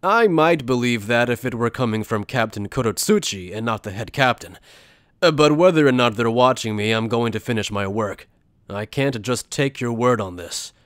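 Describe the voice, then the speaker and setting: A man's voice: mid-range voice, expressive, moderate pace, fairly bright tone, no room sound, lightly acted. A man acting in an anime fan dub as an earnest, principled young officer who is also a journalist, speaking in a sincere, insistent way. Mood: resolute. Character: earnest male Soul Reaper lieutenant and principled journalist.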